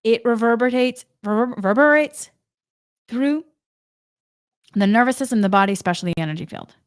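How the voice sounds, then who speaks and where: A woman's voice: a little high, brisk, smooth, no room sound, studio quality. A woman from Massachusetts with a faint Boston accent, giving a spiritual energy forecast in an earnest, rambling way, restarting and stumbling over words. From Massachusetts, New England. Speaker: spiritual channel host.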